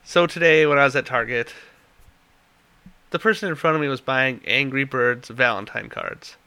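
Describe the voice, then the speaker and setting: A man's voice: medium pitch, normal speed, pushed and bright. A young man with a stuffy nose, co-hosting a casual tech chat and rambling as he loses his train of thought. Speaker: podcast co-host.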